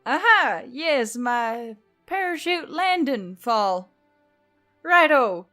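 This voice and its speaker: A woman voicing a feisty, irritable young woman biker with a loud, sarcastic, snappy delivery. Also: sits high, animated, extremely slow, faint music behind, voice pushed up, heavily acted. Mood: eager.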